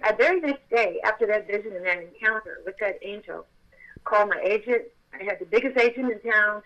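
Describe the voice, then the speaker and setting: A middle-aged woman with a childlike voice, telling her story as an interview guest and acting out the dialogue with sudden jumps in pitch. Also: lo-fi sound. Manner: excitable, reenacts dialogue with big pitch jumps.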